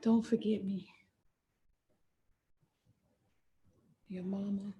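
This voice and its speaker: A woman playing an anguished, fiercely loving enslaved mother in a dramatic stage monologue, speaking emphatically with heavy pauses. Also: medium pitch, flat delivery, mellow, highs cut off, lightly acted. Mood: tender, grieving. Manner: slow, emphatic, repetitive delivery with dramatic pauses.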